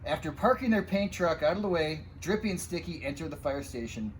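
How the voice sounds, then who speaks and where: Higher-voiced man reading storytime, noisy recording. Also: brisk. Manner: expressive, addressing young listeners with asides and sound effects. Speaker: firefighter reading a children's picture book aloud. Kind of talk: animated storytime read-aloud.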